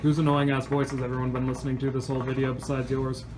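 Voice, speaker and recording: A man's voice: medium pitch, completely flat delivery, quick pace, background noise. A man working as cameraman and assistant, chiming in casually from off camera.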